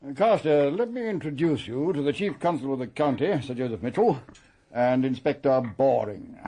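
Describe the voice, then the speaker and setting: An actor playing a proper English country-house gentleman in a radio mystery, formal, courteous and slightly hesitant. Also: medium pitch, expressive, moderate pace. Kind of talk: radio mystery drama acting.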